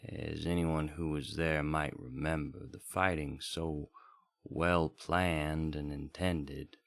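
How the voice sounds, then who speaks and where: A man's voice: extremely low pitch, steady tone, slow, creaky, full character voice. A man playing a wistful, homesick travelling bard in a melancholy, reflective radio drama. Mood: nostalgic, melancholy. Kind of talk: sung folk ballad and in-character radio monologue.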